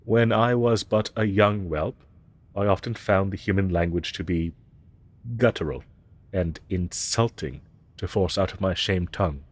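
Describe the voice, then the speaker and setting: A man playing a haughty, ancient dragon professor in a roleplay audio, speaking in archaic, formal and condescending language. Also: low-pitched. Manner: pompous, archaic, formal diction; disdainful and deliberate. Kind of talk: roleplay audio drama, scripted monologue.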